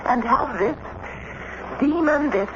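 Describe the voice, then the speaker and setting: A woman's voice: high-pitched, slow pace, lo-fi sound. An actress playing a poised, wry and insistent statesman's wife, articulate and teasing, in a radio drama. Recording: noisy, band-limited old radio broadcast.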